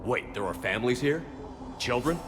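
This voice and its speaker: A man's voice: mid-range voice, expressive, normal speed, noisy background, lightly acted. An actor playing a blunt, direct male starship commander who speaks tersely. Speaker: military commander. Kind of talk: video game voice acting.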